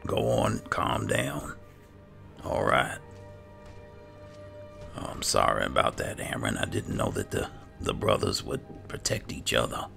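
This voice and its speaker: A man improvising in-character roleplay for a video game, speaking haltingly and repeating himself. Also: a bass voice, very animated, creaky, a bit bright. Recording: game music under the voice.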